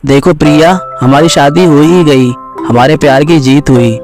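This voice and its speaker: A man's voice: fairly high pitch, normal speed, music in the background, close to an everyday voice. A man voicing an obliging, easygoing young husband in a cartoon family story, polite and placating.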